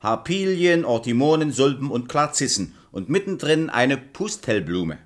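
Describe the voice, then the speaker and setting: A man's voice: medium pitch, big pitch swings, a little slow. A man playing a mischievous, clownish puppet who muddles his words, playful and theatrical, in a children's radio play. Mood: proud, correcting.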